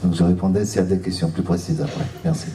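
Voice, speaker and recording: A man's voice: deep voice, level tone, fast. A man from French Guiana giving public remarks at a seminar as a local elected official. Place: seminar room, recorded from a distance. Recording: roomy, distant mic, faint noise.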